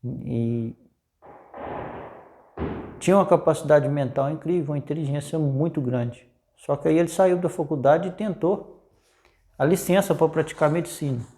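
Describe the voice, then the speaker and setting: A Brazilian man with a fairly high voice and plenty of creaky fry tells history informally, as if explaining it to a friend. His tone is mellow and soft-edged, and he often tags his sentences with little checking questions. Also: normal speed, long pauses. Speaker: presenter of a history video. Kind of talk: informal unscripted storytelling.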